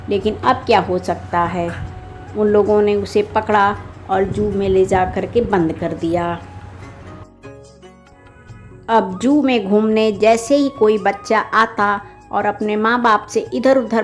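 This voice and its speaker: A woman storyteller narrating a children's bedtime story. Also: medium pitch.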